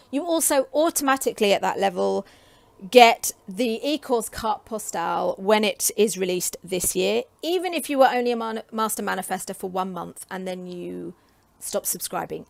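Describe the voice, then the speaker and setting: A woman in her forties from England talks casually to camera, chatty and enthusiastic with frequent ums, her voice getting hoarse. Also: mid-range voice, no echo. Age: 40s. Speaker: creative coach and vlogger.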